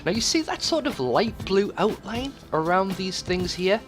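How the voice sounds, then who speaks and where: A man's voice: high voice, sing-song, normal speed. A man doing casual, rambling video game commentary, swearing freely and talking to himself as he plays. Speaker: let's play host. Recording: music behind the voice. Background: game music.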